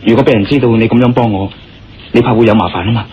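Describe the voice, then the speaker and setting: A man's voice: mid-range voice, steady tone, very fast, dark tone, natural voice. Dull-sounding Cantonese radio drama hero played by a man. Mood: coaxing, earnest. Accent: Hong Kong Cantonese. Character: injured Chinese male adventurer, confident and persuasive. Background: music.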